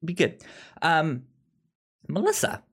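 A man's voice: on the high side, wildly swooping pitch, takes it slowly, breathy edge. A man hosting a podcast in a casual, conversational way, often stammering and repeating syllables as he goes. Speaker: podcast host.

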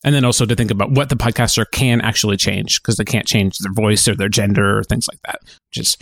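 A man co-hosting a podcast in casual discussion, talking in a halting, reflective way and circling back on his phrases. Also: on the low side, steady tone, vocal fry, fairly bright tone, no echo, studio quality. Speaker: podcast co-host.

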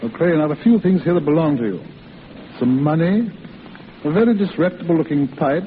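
An actor playing a kindly middle-aged hospital doctor, avuncular, deliberate and probing, in a 1940s radio drama. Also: male voice, on the high side, lively intonation, close to an everyday voice. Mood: earnest, probing. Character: kindly, fatherly head doctor of a rehabilitation hospital. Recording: tinny, hissy old radio broadcast.

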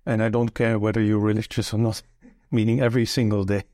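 Older Belgian man, mellow voice. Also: lowish voice, flat delivery, brisk, no echo, clean studio sound. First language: Dutch. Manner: thoughtful, with frequent restarts while recalling details. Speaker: interview guest. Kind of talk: relaxed conversational interview.

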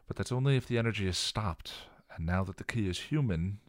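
A man voicing a shy, gentle young witch who speaks softly and quietly. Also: fairly low pitch, expressive, fairly quick, no echo, clean studio sound, pitched above the performer's own voice, natural voice. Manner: soft, quiet.

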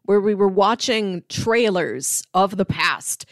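A woman's voice: medium pitch, lively intonation, takes it slowly, no room sound, clean studio sound. A woman co-hosting a podcast, explaining things about films in a casual, conversational way. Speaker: podcast co-host.

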